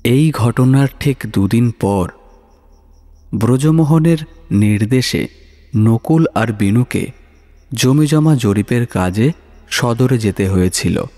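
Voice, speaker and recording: A man narrating a scripted horror story in a dramatic, measured, suspenseful manner. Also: mid-range voice, lively intonation, warm tone. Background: music.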